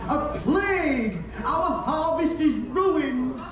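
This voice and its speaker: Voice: sits high, lively intonation, extremely slow, exaggerated voice. Someone doing a panicky villager, flustered and pleading, puppet show, echoey with crowd around. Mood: panicked. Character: panicky, deferential villager begging for help. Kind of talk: children's puppet show acting. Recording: echoey theatre from far off, crowd noise.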